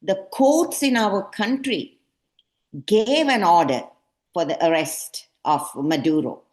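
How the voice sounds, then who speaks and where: A woman's voice: mid-range voice, slow, darkish tone. A woman hosting and moderating a panel program, speaking emphatically with frequent hesitations. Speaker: program host.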